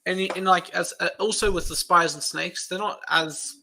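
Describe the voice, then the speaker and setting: A man's voice: sits high, fairly even intonation, moderate pace, gravelly, background noise. An Australian man who is a guest on a podcast, talking casually and rambling through long explanations.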